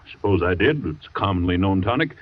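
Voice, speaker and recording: A reluctant, deep-voiced doctor. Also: male voice, lively intonation, quick pace. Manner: reluctant, apologetic. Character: hesitant family doctor. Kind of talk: old-time radio drama acting. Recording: dull old radio recording.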